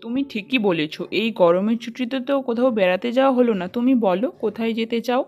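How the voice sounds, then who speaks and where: A woman's voice: on the high side, quick pace, a little mellow, soft background music, close to an everyday voice. A woman voicing a practical father in a children's cartoon story, giving instructions in a matter-of-fact way. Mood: agreeable. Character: practical father of a schoolgirl, head of household.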